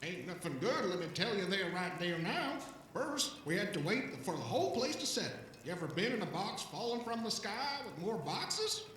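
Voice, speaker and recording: A shrill, rough-voiced old drifter rambles in a folksy, excitable way, his pitch leaping all over. He trips over words like a half-cracked audio drama alien, in a very echoey space, a little way off. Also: normal speed, hardly any pauses, recorded from a distance, slight background noise. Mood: animated storytelling. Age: older. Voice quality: rough, coarse voice. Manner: folksy, rambling, stammering, talks of himself in third person. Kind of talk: audio drama character acting.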